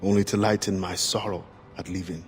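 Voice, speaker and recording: A man's voice: low voice, steady tone, a little fast, faint music behind, natural voice. A man playing a grave, resolute middle-aged assassin who fights for freed slaves in a video game cutscene. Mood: somber, subdued.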